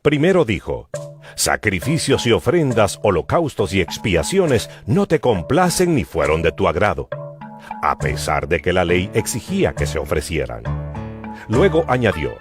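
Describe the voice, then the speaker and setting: A man's voice: sits low, huge pitch swings, a little slow. A man giving a solemn, emphatic dramatized narration of scripture as a Bible narrator. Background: music.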